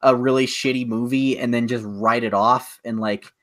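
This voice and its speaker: A man's voice: medium pitch, fairly even intonation, moderate pace. Sweary, ranting man. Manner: ranting, sweary, filler-heavy. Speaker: podcast co-host. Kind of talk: casual chat.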